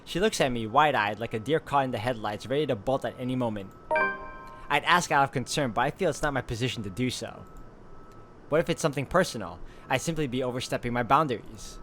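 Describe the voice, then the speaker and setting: A man's voice: medium pitch, fast, fairly bright tone, faint music behind. A man hosting a let's play, reading visual novel narration aloud and dropping in casual asides.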